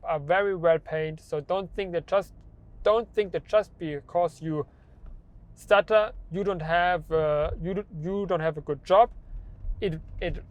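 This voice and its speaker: A man's voice: a little high, lo-fi sound. A young man who stutters, with repeated words and restarts, talking about his own experience in a personal vlog. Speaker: vlogger.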